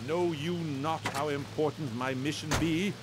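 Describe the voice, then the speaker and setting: An actor playing a pompous, melodramatic theatre director declaiming grandly as a radio talk show guest. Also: male voice, a little high, fairly even intonation, normal speed, very noisy, close to an everyday voice. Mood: exasperated, declaiming.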